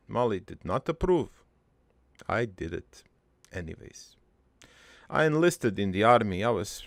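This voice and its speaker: Man playing a gravelly, rambling old army prankster. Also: lowish voice, no room sound, exaggerated voice. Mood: matter-of-fact, reminiscing. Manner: rambling, halting, matter-of-fact storytelling. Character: gruff, crude, regretful army veteran and prankster. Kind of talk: improvised in-character cartoon monologue.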